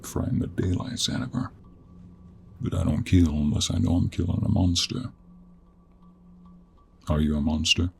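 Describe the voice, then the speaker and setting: A man playing a gruff, teasing cowboy monster hunter in an intimate audio roleplay, with a gravelly, husky voice and an American Western drawl. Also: low voice, fairly even intonation, a little mellow, slight background noise. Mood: stern. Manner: slow, teasing drawl with folksy endearments.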